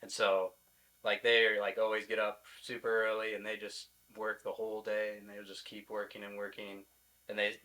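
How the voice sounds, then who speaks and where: Young American male college athlete, podcast guest. Monotone, forward bright tone, informal and halting. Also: lowish voice, poor audio. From Iowa, United States. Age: young adult. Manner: informal, hesitant, peppered with "like". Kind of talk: casual interview answers.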